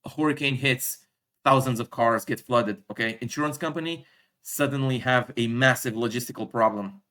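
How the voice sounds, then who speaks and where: A man from Romania, not an English speaker, giving an unscripted stock explainer with frequent fillers, self-corrections and throat clearing. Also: mid-range voice, moderate pace, pushed and bright. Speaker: stock analysis YouTuber. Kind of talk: casual explainer monologue.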